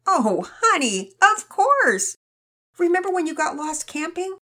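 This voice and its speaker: Woman doing a gentle, reassuring mother. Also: very high voice, big pitch swings, unhurried, pitched above the performer's own voice, exaggerated voice. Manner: gentle, soothing, preachy.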